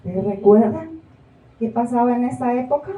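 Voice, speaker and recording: An actress playing an older rural woman guest on a radio show, talking in a halting, plain way. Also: a little high, expressive, narrow, tinny audio, close to an everyday voice. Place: small theater stage. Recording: echoey room, from far off.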